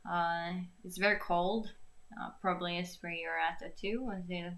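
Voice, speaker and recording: A woman's voice: on the low side, steady tone, normal speed, a bit bright, slight background noise. A young woman from Norway speaking English with a Norwegian accent, chatting casually in a Q&A, laughing often and searching for words. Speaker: singer-songwriter hosting a Q&A. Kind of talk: casual live Q&A chat.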